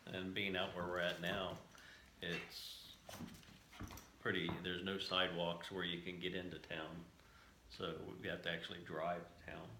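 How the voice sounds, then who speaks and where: A man's voice: low-pitched, flat delivery, unhurried, pushed and bright. An American man talking casually and plainly, in a conversational interview style. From United States, moved around before settling in Southern Ohio. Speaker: house-hunting show applicant. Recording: echoey room with faint background noise.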